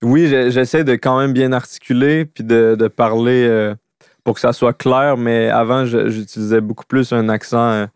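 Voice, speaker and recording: Un jeune homme à l'accent québécois, en conversation décontractée, au parler familier truffé de mots anglais. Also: male voice, mid-range voice, moderate pace, clear, resonant tone, no echo, studio quality. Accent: Québécois French. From Quebec. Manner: relaxed, slangy, mixes in English words. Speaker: YouTuber, podcast co-host. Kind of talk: casual chat.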